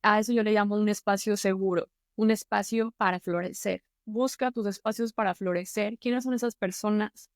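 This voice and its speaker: Mexican-accented woman, mid-pitched, encouraging mentor tone, dry close sound. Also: steady tone, normal speed, few pauses, no room sound. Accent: Mexican Spanish. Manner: earnest, encouraging, conversational. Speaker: YouTube host and public-speaking mentor. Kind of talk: motivational advice to camera.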